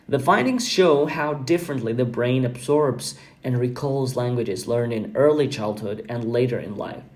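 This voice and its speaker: A man whose first language is Albanian, hosting a podcast in an earnest, reflective monologue, often pausing with fillers and repeating words. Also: mid-range voice, a little mellow. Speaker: podcast host.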